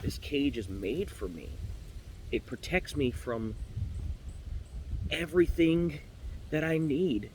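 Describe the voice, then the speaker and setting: A man's voice: fairly high pitch, expressive, takes it slowly, long pauses, slight background noise, low-quality recording. A man voicing a timid, sheltered female pet canary in a storybook tale, stammering, flustered and devout. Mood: earnest, defensive.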